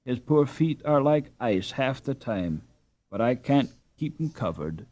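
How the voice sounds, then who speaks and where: A man's voice: medium pitch, very animated, unhurried, mellow. A man acts out a storybook peasant mother worn down by care. She is fretful, loving and wistful. Her talk is plaintive and homely, full of folksy exclamation. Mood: anxious.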